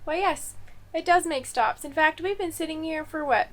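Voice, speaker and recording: A woman's voice: high-pitched, normal speed, fairly bright tone. A woman cheerfully showing the features of a small sleeper train cabin in a casual vlog. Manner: cheerful show-and-tell. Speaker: travel vlogger. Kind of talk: casual tour narration.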